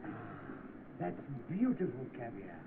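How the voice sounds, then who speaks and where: An actor with a British accent playing a scheming, lecherous rich gentleman in an old film, pompous and oily. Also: mid-range voice, huge pitch swings, measured pace, gravelly, heavily acted. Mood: oily, coaxing. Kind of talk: vintage film acting. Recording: tinny, noisy old film soundtrack.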